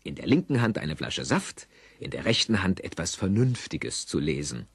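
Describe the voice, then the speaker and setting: A man's voice: sits low, big pitch swings, moderate pace, husky, no room sound. A man narrating a scripted audio play for children in a wry, teasing manner.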